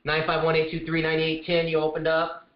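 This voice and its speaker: A man with a fairly high voice co-hosts a coaching call. He speaks casually, in brief and playful remarks. The audio is roomy, muffled and lo-fi. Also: monotone, a little fast, slight background noise. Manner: playful, informal. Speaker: co-host coach on a group call. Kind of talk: casual chat, short interjections.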